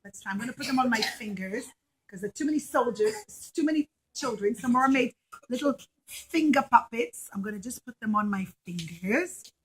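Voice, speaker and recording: A woman's voice: a little high, lively intonation, fairly quick, slight background noise, rough recording. A woman telling a story to young children as a puppet show, with simple phrasing and dramatic emphasis, addressing them directly.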